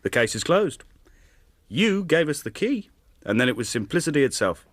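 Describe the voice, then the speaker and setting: An actor playing a brisk, formal Victorian English police inspector in a radio drama, speaking deliberately as if giving an official report. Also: male voice, on the high side, big pitch swings, no echo, clean studio sound. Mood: satisfied, brisk.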